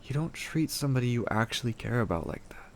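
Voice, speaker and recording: A man's voice: medium pitch, animated, fast, vocal fry, a little hiss or hum. A man playing an affectionate, playful and protective boyfriend in an intimate roleplay audio drama, talking in a tender, teasing, slightly stammering way. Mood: earnest, protective.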